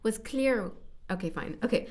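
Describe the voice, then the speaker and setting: Halting woman narrator rehearsing lines. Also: a little high, animated, a loud crowd. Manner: halting, stumbles over words. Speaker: amateur voice actor reading narration. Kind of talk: scripted narration rehearsal with retakes.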